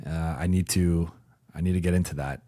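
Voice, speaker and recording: A man with a fairly low voice that gets a bit raspy, hosting a basketball stream from a home mic. He talks loosely and conversationally, restarting sentences as he thinks and reading out viewer comments between points. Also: fairly even intonation, fairly quick, mellow. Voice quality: raspy when talking for a while. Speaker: sports reporter and channel host. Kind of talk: casual live-chat basketball analysis.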